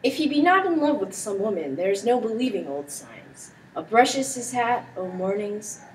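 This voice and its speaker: A woman's voice: fairly high pitch, very animated, moderate pace. A teenage girl playing a young, credulous count in an amateur Shakespeare performance. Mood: teasing. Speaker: student performer. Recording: distant mic with background noise.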